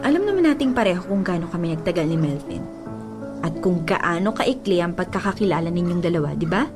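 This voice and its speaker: A woman's voice: fairly low pitch, lively intonation, fairly quick, lightly acted. Woman acting a defiant, jealous young ex-girlfriend, Filipino accent. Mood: firm, challenging. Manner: confrontational, emphatic, taunting. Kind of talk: radio drama acting in mixed Tagalog and English. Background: music.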